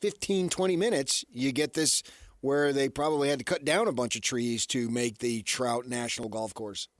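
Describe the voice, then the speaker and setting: A man's voice: mid-range voice, moderate pace, dry recording, studio quality. A man chatting casually as a co-host on a sports radio show.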